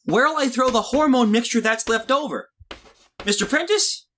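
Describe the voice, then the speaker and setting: A man's voice: very high voice, normal speed, fairly bright tone, a little hiss or hum. A young man playing an earnest, flustered boy lab helper in a retro radio drama, hesitant, stammering and pleading. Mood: questioning. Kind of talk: retro 1940s-style radio drama acting.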